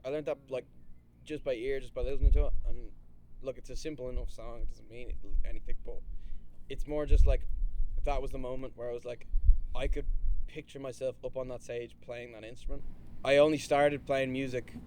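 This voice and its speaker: A young man with a Dublin accent talks reflectively about his start in music, sometimes stumbling before he settles. His voice has an average pitch, with faint noise behind it. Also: steady tone, a little fast, darkish tone, low-quality recording. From Dublin, Ireland. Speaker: band member. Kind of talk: casual interview.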